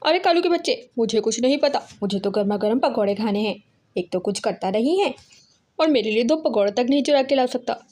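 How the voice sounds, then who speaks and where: A woman's voice: a little high, expressive, a little fast, a little mellow. A woman voicing a greedy, spiteful female crow who scolds and makes demands of her husband in a cartoon. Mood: angry nagging.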